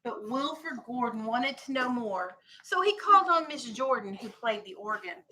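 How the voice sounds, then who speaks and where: Female teacher, higher-pitched, bright and clear-cut delivery. Animated children's story read-aloud with explanations and questions. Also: normal speed, slight background noise. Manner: animated, explains and checks understanding.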